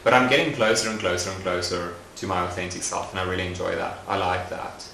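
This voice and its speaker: Young adult man, documentary interviewee, low pitch, gravelly, unhurried and reflective. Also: fairly even intonation, a little hiss or hum. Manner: thoughtful, soft-spoken, frequent fillers. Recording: roomy echoey recording.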